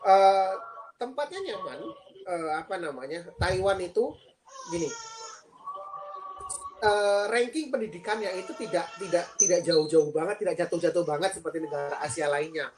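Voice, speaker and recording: A man's voice: high voice, expressive, moderate pace, noisy background. Earnest Indonesian man, hesitant explainer. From Lampung, Indonesia. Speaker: guest, PhD student and lecturer. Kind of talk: casual live-stream interview.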